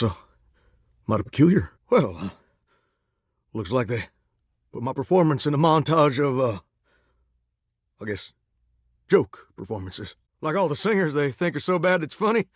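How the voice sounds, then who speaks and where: An actor voicing a lovesick talking shower in a game, with a drawl and a folksy, earnest way of talking. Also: male voice, mid-range voice, big pitch swings, a little slow, long pauses, husky, no room sound, studio quality. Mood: dejected. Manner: folksy, earnest and sweetly corny. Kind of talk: video game character dialogue.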